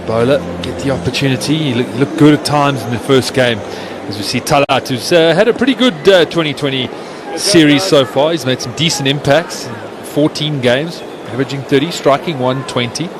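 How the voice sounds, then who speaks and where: A man giving live cricket commentary, rising to excited shouts on close chances. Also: mid-range voice, normal speed, hardly any pauses. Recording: noisy stadium broadcast.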